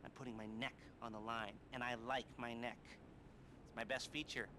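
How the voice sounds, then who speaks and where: An actor playing an awkward, neurotic middle-aged criminal heist planner in a video game, with an American accent and a halting, nervous, wry delivery. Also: male voice, medium pitch, little pitch movement, noisy background, natural voice. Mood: cagey, wry. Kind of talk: video game cutscene acting.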